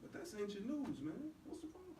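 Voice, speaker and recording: A man's voice: extremely high pitch, big pitch swings, fairly quick, dark tone. A man acting a protective, hot-tempered older brother in a stage play skit, talking in a colloquial, confrontational way. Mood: concerned, prodding. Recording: roomy, distant mic, noisy background.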